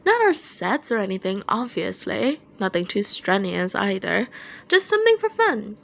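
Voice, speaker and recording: A woman's voice: mid-range voice, big pitch swings, moderate pace, gravelly, fairly bright tone. A woman voicing a giddy, teasing male band singer in a fan fiction reading, with a playful, exaggerated, mocking delivery. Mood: bright, thoughtful. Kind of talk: fan fiction audiobook character voice.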